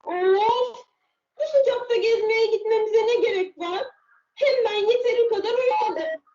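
A woman's voice: very high-pitched, unhurried, mellow, voice pushed up. A woman voicing a grumpy, miserable little boy turtle who sighs and complains in a children's fairy tale. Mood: grumbling. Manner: sighing, complaining. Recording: muffled, roomy, far from the mic.

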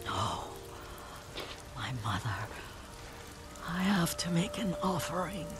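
A frail old serving woman lost in her memories, in a video game drama. Her voice is low and whispery, and she speaks slowly and haltingly, tender and wistful. Music plays behind her. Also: big pitch swings. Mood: pleading. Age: older. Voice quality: breathy, whispery. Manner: halting, wistful, softly trailing off.

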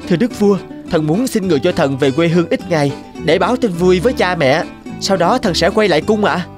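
Earnest young knight, fairly high voice. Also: male voice, expressive, fairly quick, over music. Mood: respectful.

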